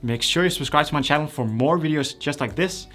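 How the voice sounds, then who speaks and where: A man's voice: a little high, a little fast, a bit bright, faint music behind. Man explaining app settings step by step. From Denmark. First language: Danish. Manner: plain, step-by-step instructional delivery. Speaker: YouTube creator. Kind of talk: tutorial explainer.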